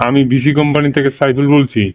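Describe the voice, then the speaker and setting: A man acts as a polite Bangladeshi scam caller posing as a company agent. He speaks fast and formally in a clear, monotone voice, explaining patiently. The recording is dry. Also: mid-range voice, no echo, lightly acted. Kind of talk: scripted prank phone call dialogue.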